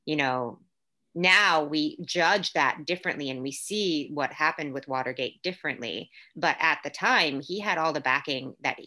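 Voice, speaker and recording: A woman's voice: sits low, pushed and bright. A young adult woman from Puerto Rico, raised in Florida, talking casually as a guest with many fillers and restarts. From Puerto Rico, raised in Orlando, Florida. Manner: chatty, lots of fillers and restarts. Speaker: guest, actor. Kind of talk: casual conversation.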